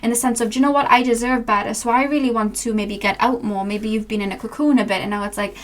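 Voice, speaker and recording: Young female tarot reader, slightly high-pitched, loose and rambling, fumbles for words. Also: fairly even intonation, fast talker. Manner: meandering, filler-heavy, searching for words. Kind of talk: channeled tarot reading.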